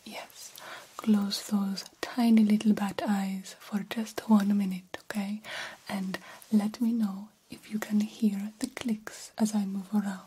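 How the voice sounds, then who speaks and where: A woman voicing a very old, self-assured vampire doctor in a soft, formal, reassuring ASMR whisper. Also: mid-range voice, little pitch movement, takes it slowly, a little hiss or hum, close to an everyday voice, low-quality recording. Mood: gentle, instructing. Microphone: close microphone.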